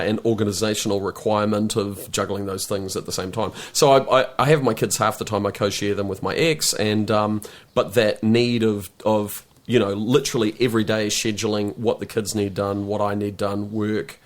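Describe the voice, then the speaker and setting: A middle-aged man with a New Zealand accent and a fairly low voice chats as a podcast guest. He talks in bursts, stopping and restarting his phrases with plenty of fillers. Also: little pitch movement, moderate pace. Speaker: guest, film director. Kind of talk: casual podcast interview.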